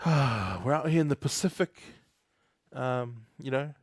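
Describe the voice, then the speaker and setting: A man's voice: mid-range voice, expressive, takes it slowly, no room sound, clean studio sound. Chatty New guy coding live. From New Zealand. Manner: chatty, laughs often, reads chat aloud. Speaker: livestream host. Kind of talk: casual live coding stream.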